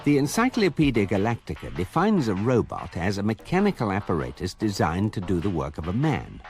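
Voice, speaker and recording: Very low, deadpan guidebook narrator. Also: male voice. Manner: measured, deadpan, erudite. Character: electronic guidebook narrator, urbane and drily authoritative. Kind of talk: scripted comic radio narration.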